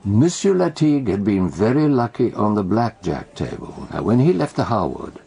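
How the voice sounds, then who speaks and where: A measured, patient detective in a radio drama. The voice is lowish and gravelly, with lively rises and falls. He explains precisely and teases dryly. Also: heavily acted. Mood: measured explaining. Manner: deliberate, precise, dry. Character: measured, patient male detective, gently correcting and teasing.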